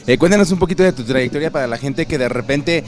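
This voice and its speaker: A man's voice: on the high side, quick pace, soft background music. A young Mexican man interviewing a guest at an indoor expo booth with a handheld mic, asking friendly, slangy questions. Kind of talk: casual entertainment interview.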